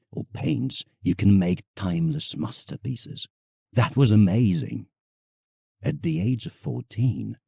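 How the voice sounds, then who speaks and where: Husky, expressive old male painter, played by an actor. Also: on the low side, dry recording, clean studio sound, full character voice. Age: older. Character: elderly male English painter, reflective, nostalgic letter writer. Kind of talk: dramatic first-person monologue, audiodrama.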